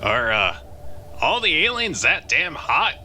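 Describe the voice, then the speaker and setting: A man's voice: a little high, big pitch swings, slow pace. Man playing an awkward ensign, noisy recording. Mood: hesitant. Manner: hesitant, awkward. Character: timid, awkward male junior ensign. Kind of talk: audio drama acting. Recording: clearly noisy, with clicks and rubbing.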